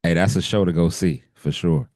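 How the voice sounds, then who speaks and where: This is a man with a very low, husky voice, hoarse from a cold, talking on a podcast. He chats loosely with plenty of slang and easy laughter. The sound is dry and close, with no room around it. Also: moderate pace, darkish tone, no room sound. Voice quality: deep voice. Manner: laid-back, laughs often, loose slang. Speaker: podcast co-host. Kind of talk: casual chat.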